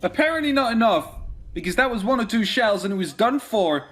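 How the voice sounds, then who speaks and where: A man's voice: high-pitched, expressive, fairly quick, fairly bright tone. A man who is a non-native English speaker, giving live esports play-by-play and casual commentary. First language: not English.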